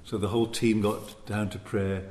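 A man preaching in a teaching sermon, speaking in deliberate, emphatic short phrases with pauses between them. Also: on the low side, flat delivery, background noise. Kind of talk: sermon teaching with scripture and anecdotes.

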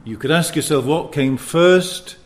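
A man preaching in a teaching sermon, speaking in deliberate, emphatic short phrases with pauses between them. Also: fairly high pitch. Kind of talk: sermon teaching with scripture and anecdotes.

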